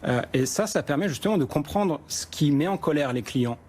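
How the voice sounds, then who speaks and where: A man's voice: fairly high pitch, lively intonation, fairly quick, a little mellow. A man, a startup executive, explaining his company's tool in an interview, with frequent restarts. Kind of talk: business interview answers.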